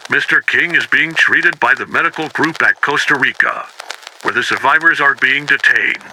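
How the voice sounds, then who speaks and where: A man playing a grave investigator who records a deliberate, official case report as a 1990s horror audio log. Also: mid-range voice, sharp tone. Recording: noisy, harsh-edged radio-like tape recording.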